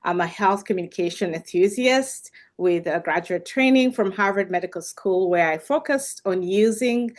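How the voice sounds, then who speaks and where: A woman's voice: mid-range voice, moderate pace, few pauses. A woman from Uganda giving formal remarks over a video call, earnest, with frequent fillers and restarts. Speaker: health communication speaker.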